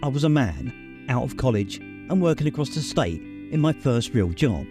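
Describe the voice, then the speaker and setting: A man reading a memoir aloud as an audiobook narrator, in measured storytelling phrases. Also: medium pitch, big pitch swings, music in the background. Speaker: audiobook reader. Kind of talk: scripted literary narration.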